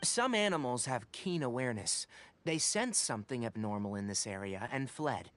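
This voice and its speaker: A young scientist from a game, played by a man, lays out technical points with clinical precision. His mid-pitched voice moves freely up and down while staying composed and blunt, over faint noise. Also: expressive, normal speed, a bit bright, close to an everyday voice. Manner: precise, technical, matter-of-fact. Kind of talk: scripted game dialogue, explanatory.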